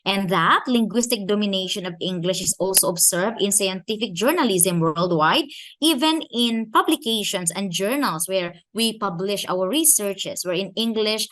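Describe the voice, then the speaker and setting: A woman from the Philippines with a Filipino accent, giving an academic conference talk in a measured way and slowing down to stress key phrases and questions. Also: medium pitch, a bit bright. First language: Filipino language. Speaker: conference speaker.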